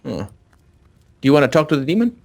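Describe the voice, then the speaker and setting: A man's voice: fairly high pitch, big pitch swings, fast, gravelly, darkish tone. A man chatting casually as a co-op gamer, sometimes reading game text aloud. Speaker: gamer, co-op let's play commentator.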